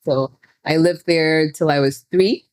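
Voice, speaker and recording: A woman's voice: sits low, unhurried, darkish tone. A young woman from Southern Africa with an American twang, chatting informally as a podcast co-host. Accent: American-tinged. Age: young adult. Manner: informal, frequent "like". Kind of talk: casual chat.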